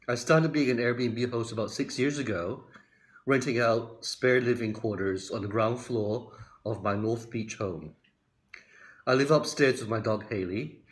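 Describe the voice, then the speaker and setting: An older man from Hong Kong and Australia giving a prepared, measured explanatory talk to the camera as a community organizer, recorded in a room at home. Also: medium pitch. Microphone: phone or webcam at arm's length.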